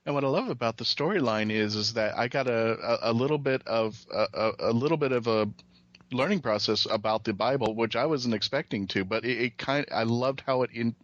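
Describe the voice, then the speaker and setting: A man co-hosting a podcast with casual, playful, sometimes halting chat. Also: medium pitch, a bit bright, dry recording, lo-fi sound. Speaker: podcast co-host.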